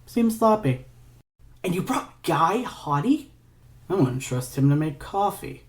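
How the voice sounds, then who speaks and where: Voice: medium pitch, sing-song, takes it slowly, voice pushed up. A performer voicing a sardonic male villain scientist in an audio story, theatrical and taunting, with a dry drawl. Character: sardonic male villain scientist, needling his old lover.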